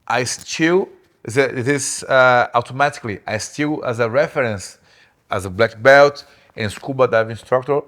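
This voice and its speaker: A man's voice: medium pitch, a bit bright. Young guy on a podcast, english, stumbles and repeats himself, laughs a lot. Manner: halting, repeats words, laughs often. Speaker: podcast guest. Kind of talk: casual interview.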